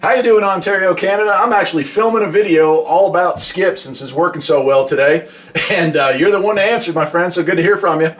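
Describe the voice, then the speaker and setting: A man's voice: high-pitched, fast talker, fairly bright tone. A man from the United States talking casually and explaining his radio hobby in a chatty, folksy way. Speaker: hobby vlogger and CB radio operator. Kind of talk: casual explainer talk with radio call demonstrations.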